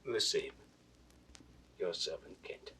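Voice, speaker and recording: An actor playing a loyal, grieving nobleman in a classical Shakespeare audio drama, solemn and often hushed. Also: slow, slight background noise. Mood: earnest devotion. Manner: hushed, solemn, breathy declamation. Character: loyal grieving nobleman, devoted servant to the king. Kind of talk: 1960s recorded Shakespeare drama, classical stage acting.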